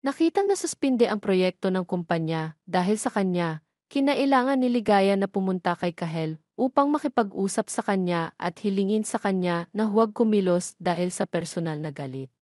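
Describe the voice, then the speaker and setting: A woman with a Filipino accent reads a scripted story recap as narrator, phrase by phrase in a measured way. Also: mid-range voice, expressive, a little mellow, dry recording, studio quality.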